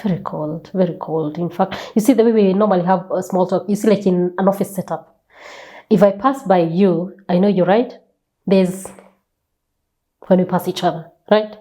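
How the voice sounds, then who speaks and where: A woman's voice: lowish voice, moderate pace, dark tone. A Kenyan woman speaking as a guest in a conversational interview, telling stories emphatically, repeating phrases and asking rhetorical questions. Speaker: guest author. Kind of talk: animated conversational storytelling.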